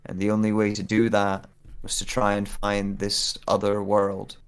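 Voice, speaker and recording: Man acting searching amnesiac, low gravelly voice. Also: completely flat delivery, normal speed, lightly acted. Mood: earnest, remembering. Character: anxious searcher with lost memories, narrating a journal. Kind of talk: audio drama monologue.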